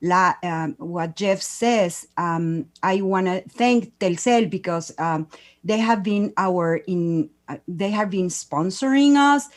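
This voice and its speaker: Slow, hesitant woman with a Mexican accent. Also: lowish voice. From Mexico City. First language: Spanish. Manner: hesitant, searching for words. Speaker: education and culture promoter. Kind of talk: panel discussion.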